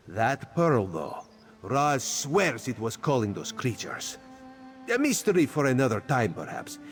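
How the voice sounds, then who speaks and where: Playful, secretive cat-man spy for a game, lively sing-song delivery, speaks of himself in third person, faint music behind. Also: medium pitch. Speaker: spymaster quest giver. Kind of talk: video game character dialogue.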